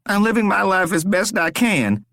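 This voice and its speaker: A man voicing a terrified young man who is tied up and desperately pleading for his life, his voice strained and high. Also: big pitch swings, normal speed, creaky, a bit bright, dry recording, clean studio sound, exaggerated voice.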